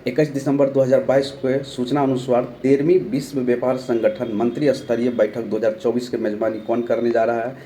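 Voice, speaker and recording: A young Bihari man with a sore throat runs an online current-affairs lesson. His voice has a dark, mellow tone. He reads out each question, gives the answer, and repeats the names to remember, with faint noise behind him. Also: mid-range voice, level tone, fairly quick, few pauses. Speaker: online exam-prep teacher.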